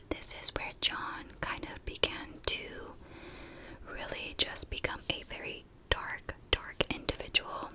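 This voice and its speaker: A woman telling a true crime story in a breathy ASMR whisper, with a soft, informal manner. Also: sits high, low-quality recording. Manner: soft, unhurried, informal narration.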